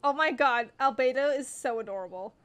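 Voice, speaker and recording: A woman's voice: high-pitched, fairly quick, clear, resonant tone. A woman giving casual, playful live commentary while streaming a game, with a giggly manner.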